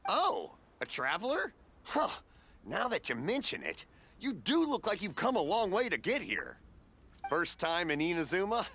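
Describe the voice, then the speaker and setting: A man's voice: sits high, wildly swooping pitch, normal speed, a little hiss or hum, exaggerated voice. A middle-aged man voicing a friendly, generous festival vendor in a video game, chuckling as he banters. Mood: jovial. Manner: jovial, chuckling, warm-hearted banter.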